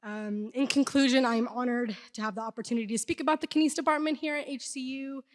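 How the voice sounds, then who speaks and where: A woman's voice: high voice, fairly even intonation, fast talker. A young woman giving an earnest, emphatic prepared speech as a student speaker at a university event. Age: young adult. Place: large indoor hall, speaking to an audience. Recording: echoey hall with long reverb.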